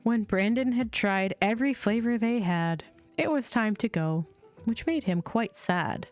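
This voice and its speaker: A woman narrates a children's bedtime story at an unhurried pace. Her pitch moves in a lively, sing-song way as she reads the lines aloud. Soft music plays behind her. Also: no room sound. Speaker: host reading children's bedtime stories. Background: chill music.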